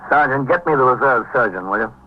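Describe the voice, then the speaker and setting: An actor playing a brisk, authoritative police captain who narrates in a clipped, businesslike 1950s radio drama style. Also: male voice, medium pitch, lively intonation, a little hiss or hum, lightly acted. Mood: brisk, demanding. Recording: dull 1950s radio recording.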